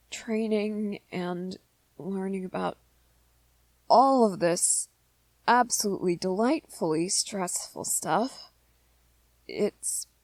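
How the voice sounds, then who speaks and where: A woman playing a shy, anxious young male vampire boyfriend in an ASMR roleplay, soft-spoken and hesitant, with apologetic stammers. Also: medium pitch, no echo. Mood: bashful, fond. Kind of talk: ASMR roleplay, scripted one-sided dialogue to listener.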